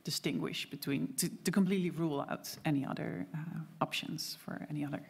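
Low gravelly woman answering questions. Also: steady tone, a little mellow. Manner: halting, many fillers and restarts. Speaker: panelist astronomer.

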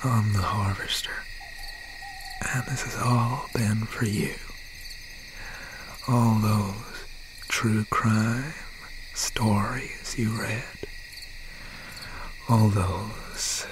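Man acting a slow, menacing slasher boyfriend. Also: fairly low pitch, background noise. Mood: bitter, menacing. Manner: slow, breathy, half-whispered menace with pauses. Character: obsessed, bitter young slasher boyfriend, menacing serial killer. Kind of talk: ASMR roleplay, dramatic monologue. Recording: steady nighttime ambience over the voice. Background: crickets, insects and owl night sounds.

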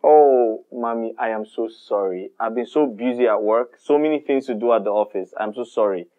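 A man's voice: mid-range voice, normal speed, phone-quality sound, natural voice. A man with a Nigerian accent playing an easygoing young boyfriend who brushes off quarrels and apologizes to his mother. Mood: apologetic. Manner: placating, laughing things off.

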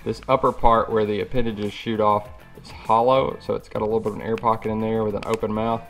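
A man's voice: on the low side, little pitch movement, fast talker, soft background music. An American man hosting a fishing channel, talking informally to viewers in a casual unboxing review. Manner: informal, addresses viewers as y'all. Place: indoors at a cluttered worktable.

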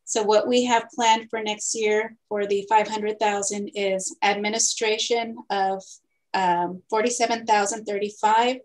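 A woman in her thirties, a city housing manager, presenting a staff report over a video call in a clear, methodical way. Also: medium pitch, level tone, a little slow, poor audio. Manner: clear, methodical, reads figures steadily.

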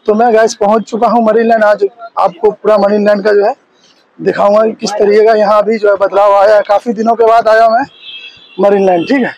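A man's voice: sits high, flat delivery, fairly quick, darkish tone, highs cut off. A man giving a chatty walking vlog by a busy seaside road at night, pointing things out to viewers as he films on a handheld phone. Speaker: vlogger. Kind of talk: casual walking vlog commentary. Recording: outdoor phone recording with traffic noise.

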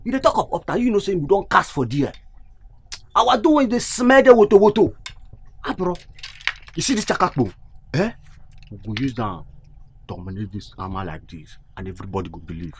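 A man's voice: a little high, brisk, long pauses, slight background noise. An actor playing a boastful young Nigerian street gunman who shows off his old gun, speaking with a Nigerian Pidgin accent. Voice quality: swaggering, lively, emphatic. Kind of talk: comedy skit acting.

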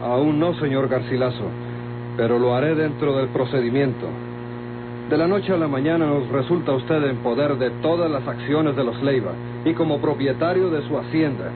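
An actor with a Mexican accent playing a calm, suspicious lawman, formal and pointed, in a vintage radio drama. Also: medium pitch, normal speed, lightly acted. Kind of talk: Mexican golden-age radio drama acting. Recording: muffled vintage radio, loud hum and noise.

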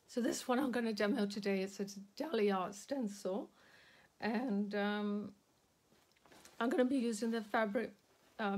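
A woman leads a live crafting session at her indoor table, greeting viewers as she works. Her throat is a little sore, and she speaks slowly and casually, filling pauses with ums. Her pitch sits at an ordinary middle level. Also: a little mellow. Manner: slow, chatty, many ums, greets viewers by name. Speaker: craft demonstrator hosting a live stream.

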